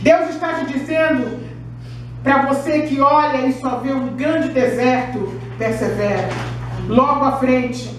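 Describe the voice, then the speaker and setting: A middle-aged woman pastor delivers an impassioned sermon in a high voice. She is picked up by a distant camera, so her words ring through a very echoey room. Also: slow pace, a little hiss or hum. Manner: impassioned preaching with raised finger gestures.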